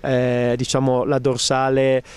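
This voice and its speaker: A middle-aged man talks into a handheld microphone on a snowy mountain slope. His voice is smooth and resonant, pitched in an ordinary middle range. He speaks in a measured, careful way, often stopping to search for words. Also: completely flat delivery, darkish tone. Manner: measured, hesitant, many filler pauses. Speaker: ski resort company president. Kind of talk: outdoor TV interview. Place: outdoors on snowy ski slope. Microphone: handheld mic held by interviewer.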